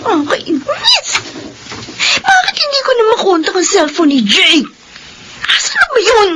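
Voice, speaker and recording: A woman's voice: very high-pitched, sing-song, unhurried, noisy background. A woman acting in a classic radio drama with a sulky, whiny delivery.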